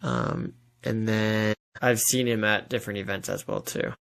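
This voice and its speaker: A man's voice: fairly low pitch, big pitch swings, moderate pace, gravelly, fairly bright tone. A man speaking as an author guest in a casual interview, often voicing imagined thoughts as he explains.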